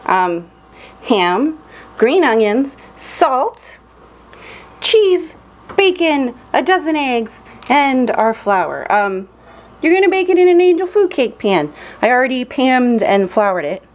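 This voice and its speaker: A woman's voice: sits high, very animated, slow pace. A young woman demonstrating a recipe in her dim home kitchen, chatty and playful, with lots of ums and cheerful exclamations. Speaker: cooking vlogger. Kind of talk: casual cooking demonstration. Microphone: camera held close, filming her hands.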